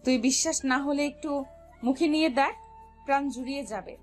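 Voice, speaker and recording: A high, girlish voice with music underneath: a playful young woman, acted by a storyteller in a romantic audio drama. She teases her friends and speaks in bright exclamations. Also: moderate pace, natural voice. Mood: delighted. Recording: music bed behind voice.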